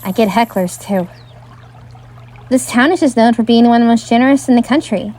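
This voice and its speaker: A woman's voice: fairly high pitch, a little fast, mellow, lightly acted. A woman acting a young travelling bard, wry, weary and dryly exasperated, in an audio drama.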